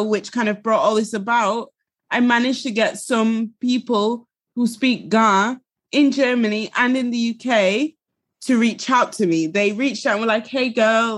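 A woman's voice: fairly high pitch, a bit bright. A young woman with a Yorkshire accent, chatting as an interview guest and laughing easily. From Finland, raised in England. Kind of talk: casual interview talk.

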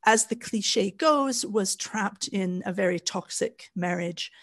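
A middle-aged woman from Canada with a Canadian accent, co-hosting a podcast in a measured storytelling way with frequent fillers. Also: medium pitch. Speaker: podcast co-host. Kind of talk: casual podcast chat.

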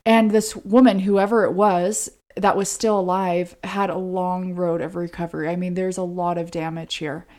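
Low-pitched woman telling true-crime stories. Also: level tone, moderate pace, few pauses, darkish tone. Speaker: podcast co-host. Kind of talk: conversational true-crime storytelling.

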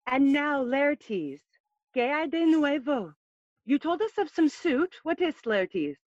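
A woman's voice: sits high, very animated, takes it slowly, no echo, highs cut off, full character voice. A woman playing a gracious, coaxing queen and mother in a bilingual Spanish-English Shakespeare audio play.

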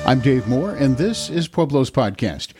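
A man's voice: mid-range voice, sing-song, a little slow, loud music behind the voice. A man hosting a local podcast, asking questions in an easygoing way with many fillers. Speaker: podcast host. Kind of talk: podcast interview and read ad.

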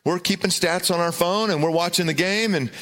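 Humorous, animated preacher man. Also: brisk. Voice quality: loud voice. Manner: self-deprecating, humorous storytelling with big pitch swings. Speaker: pastor. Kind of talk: sermon with personal story.

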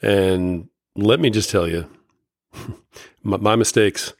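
Middle-aged male podcast host, deep voice, dry close sound, slight rasp, folksy. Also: takes it slowly, dry recording. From Indiana, USA. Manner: folksy, earnest, many fillers and restarts. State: slightly raspy voice. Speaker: podcast host and life coach. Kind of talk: casual solo podcast talk.

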